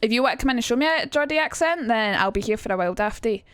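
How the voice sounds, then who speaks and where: A young woman talks her way through a casual stream lesson, hopping between chat messages and her own points. Her voice sits on the high side and sounds dry and close, with no room around it. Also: lively intonation, racing, a bit bright, clean tone, no echo. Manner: chatty, reads chat aloud, jumps between topics, swears. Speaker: streamer teaching accents.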